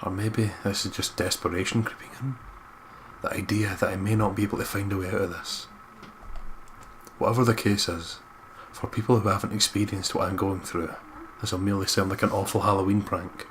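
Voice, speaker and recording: A man's voice: low-pitched, fairly even intonation, a little fast, fairly bright tone, slight background noise. A man hosting a let's play, reading story narration aloud and commenting casually. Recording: music playing behind the voice.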